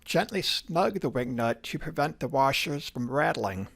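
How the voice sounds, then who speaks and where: A man reads scripted instructional narration in a measured, step-by-step way, like a lab instructor. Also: mid-range voice, animated, creaky, fairly bright tone, breathy edge.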